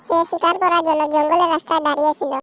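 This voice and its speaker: A woman's voice: very high voice, flat delivery, very fast, a bit bright. A woman narrating a cartoon story. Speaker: narrator voicing all parts.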